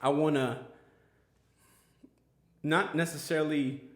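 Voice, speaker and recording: A man's voice: a little high, fairly even intonation, takes it slowly. Rambling man in an echoey room. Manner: rambling, filler-heavy, earnest. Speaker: podcast host. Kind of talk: casual chat.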